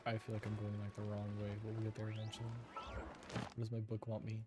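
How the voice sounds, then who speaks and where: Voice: medium pitch, completely flat delivery, a little fast, over loud music. A streamer giving casual video game commentary and reading game text aloud.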